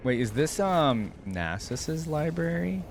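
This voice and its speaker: A man's voice: on the high side, big pitch swings, slow, vocal fry, faint music behind. A man giving casual reaction commentary as host, talking in an informal, slangy way and often exclaiming or musing aloud.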